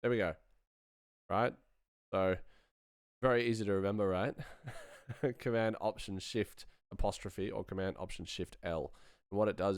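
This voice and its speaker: Low, clear-voiced man casually demonstrating software. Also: no room sound, studio quality. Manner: informal, self-deprecating, slangy. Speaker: sound designer giving a tutorial. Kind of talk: casual live-streamed software demo.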